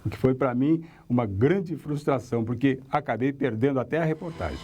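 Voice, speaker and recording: A man's voice: mid-range voice, a little fast, muffled recording, over music. An older man with a Brazilian accent, a veteran radio reporter reminiscing in an interview.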